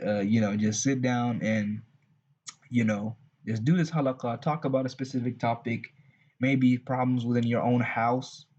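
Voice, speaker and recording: A man's voice: mid-range voice, a little mellow, husky. A man with a heavy Texas accent giving loose, rambling religious advice to fathers, with many restarts and asides. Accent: Southern US, Texas. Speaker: religious channel host. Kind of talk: unscripted advice talk. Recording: dull lo-fi recording.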